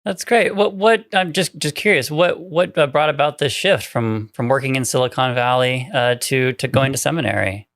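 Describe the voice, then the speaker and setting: A man co-hosting an academic podcast in a casual, conversational way, haltingly repeating words as he goes. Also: mid-range voice, a bit bright, no room sound, studio quality.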